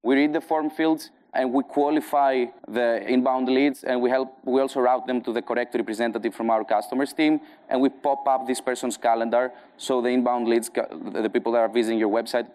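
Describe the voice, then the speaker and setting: A man's voice: medium pitch, a little mellow. A man from Greece with a strong Greek accent giving a conference talk in a conversational way full of fillers, tired from jet lag. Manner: conversational, filler-heavy presenting. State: jet-lagged. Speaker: conference speaker.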